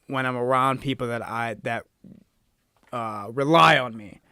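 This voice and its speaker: A man's voice: mid-range voice, unhurried, pushed and bright, clear, resonant tone, dry recording. A man in his early twenties co-hosting a podcast, talking earnestly in casual chat, swearing and asking "right?" a lot. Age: 20s. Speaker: podcast co-host.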